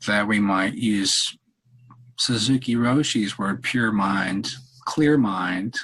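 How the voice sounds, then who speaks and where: A man leading a guided meditation in a calm, unhurried, halting way with long pauses and a husky voice. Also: lowish voice, poor audio. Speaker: meditation leader, podcast host. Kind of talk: guided meditation, softly spoken instruction.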